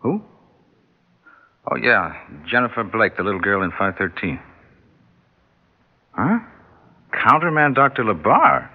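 A man's voice: lowish voice, sing-song, a little slow, pauses often, full character voice. An actor playing an earnest, reassuring and authoritative male surgeon in an old-time radio drama. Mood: brisk. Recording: muffled vintage radio recording.

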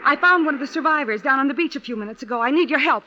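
A woman's voice: high-pitched, very fast, sharp tone. An actress playing a caring, urgent young woman companion in an old-time radio drama. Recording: muffled, noisy vintage radio recording.

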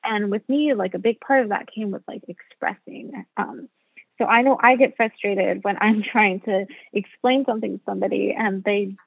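Woman, native Spanish speaker, gravelly fry, thin phone-like line, dry sound, rambling casual guest. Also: medium pitch, fairly even intonation, normal speed, no room sound. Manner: rambling, thinks aloud. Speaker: guest, mother. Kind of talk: casual interview chat.

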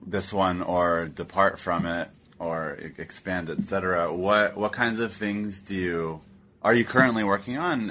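A man interviewing a guest, asking polite, deliberate questions. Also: low-pitched, fairly bright tone, a little hiss or hum.

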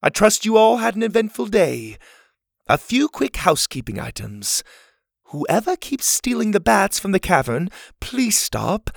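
A man voicing an older woman who runs a camp, addressing the children in a slow, formal way. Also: high-pitched, sing-song, vocal fry, no room sound, clean studio sound, voice pushed up, full character voice. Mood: formal, welcoming.

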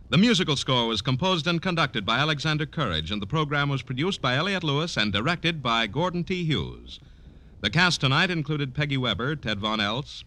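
A man's voice: mid-range voice, sharp tone. An American man announcing on 1950s network radio, reading scripted credits and promos with showy, polished emphasis.

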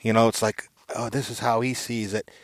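Male guest, medium-pitched, folksy conversational delivery, recorded dry. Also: flat delivery, normal speed, dry recording. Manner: folksy, repeats "you know". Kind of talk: casual chat. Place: outdoors by a campfire.

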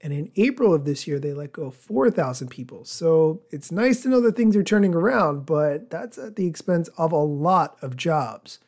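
A man with a voice on the higher side presents news as a podcast host. He follows a script, explaining points in a measured manner and setting off quotations formally, with some commentary of his own. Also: expressive, darkish tone.

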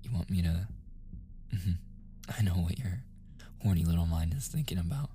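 A man playing a flustered, submissive young boyfriend in a soft-spoken ASMR roleplay, stammering and sighing, often slipping into whispers. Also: deep voice, expressive, normal speed, soft background music. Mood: embarrassed, refusing. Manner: stammering, sighing, breathy asides, protesting. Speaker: audio roleplay voice performer.

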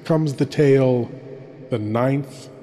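Guy doing creepy horror host intro, really slow and solemn, tons of reverb. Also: mid-range voice, dark tone. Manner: ominous, solemn, deliberate. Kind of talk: theatrical scripted horror introduction. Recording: heavily reverberant studio voice-over.

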